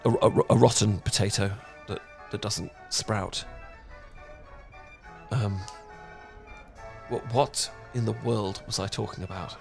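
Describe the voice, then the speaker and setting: A man's voice: on the low side, fairly even intonation, takes it slowly, creaky, pauses often, soft background music. A British man playing a cute, awkward young dork in a romantic roleplay, stammering and rambling as he talks. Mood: anxious babbling. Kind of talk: romantic ASMR roleplay acting.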